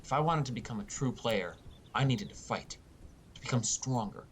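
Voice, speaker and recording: A man's voice: expressive, moderate pace. A man reading a first-person fantasy story as an audiobook narrator. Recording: rumbly background noise.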